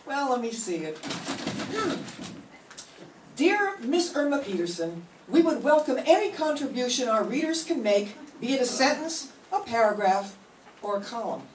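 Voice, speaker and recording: A woman's voice: a little high, sing-song, measured pace, distant mic, background noise. An older woman playing Jane, a wry, sensible young woman, in a staged 1940s radio comedy reading. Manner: dry, sarcastic. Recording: roomy hall, heard from afar.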